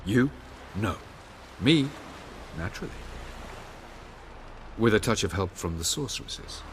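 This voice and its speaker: Low-voiced, haughty elf sage. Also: male voice, animated. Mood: lofty, dry. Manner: formal, measured, condescending. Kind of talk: video game cutscene acting. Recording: clear background noise and ambience.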